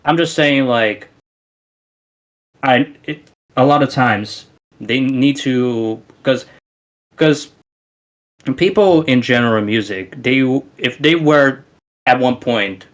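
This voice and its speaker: A man's voice: medium pitch, takes it slowly, pauses often, a bit bright. A man with a Romanian accent, a musician guest on a casual podcast, talking in an emphatic, rambling way with many restarts.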